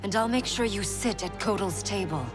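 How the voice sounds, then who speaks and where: A woman's voice: mid-range voice, moderate pace, music in the background, close to an everyday voice. A woman playing a noble, resolute warrior princess in a video game, speaking earnestly, firmly and formally. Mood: persuasive. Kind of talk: dramatic video game acting.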